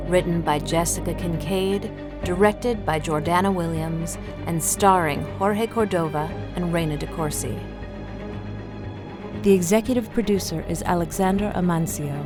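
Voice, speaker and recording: Lowish-voiced woman announcer over prominent music. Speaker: credits announcer. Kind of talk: scripted credits read.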